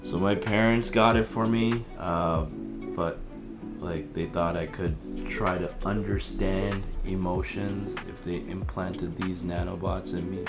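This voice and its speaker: A man's voice: low-pitched, level tone, measured pace, over music. A man playing an easygoing, empathetic, slightly awkward young helpline counselor in a casual audio drama. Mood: reflective. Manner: casual, hesitant, slangy. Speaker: helpline host.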